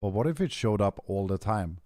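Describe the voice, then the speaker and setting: A young man with a deep, low voice talks to his stream viewers in a loose, rambling way. His throat is rough and hoarse, and he keeps clearing it. The sound is dry and close. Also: lively intonation, brisk, warm tone, dry recording. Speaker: game streamer. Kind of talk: casual stream chat, answering chat.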